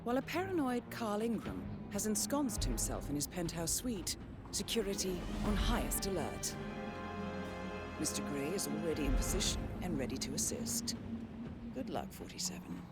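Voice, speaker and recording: A woman's voice: lowish voice, very animated, normal speed. A woman playing a composed, authoritative mission handler, giving a formal, precise briefing in a video game cutscene. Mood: cool, formal. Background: music.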